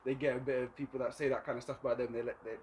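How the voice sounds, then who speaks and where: A man's voice: mid-range voice, flat delivery, racing, slight background noise. A man hosting a podcast in casual chat, eager and slangy, often chiming in to agree. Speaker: podcast host.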